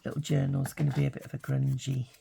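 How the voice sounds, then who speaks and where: Older woman, hoarse with hay fever, low unhurried voice, light background hiss. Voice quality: gravelly. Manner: chatty, relaxed, thinking aloud. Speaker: craft channel host. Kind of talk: casual craft tutorial.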